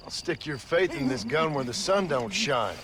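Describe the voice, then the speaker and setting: An actor playing a gruff, sceptical western gunslinger in a video game, terse, sardonic and deadpan. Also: male voice, on the high side, moderate pace, a crowd in the background, close to an everyday voice. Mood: irritated. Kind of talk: video game cutscene acting. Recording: clear outdoor background noise.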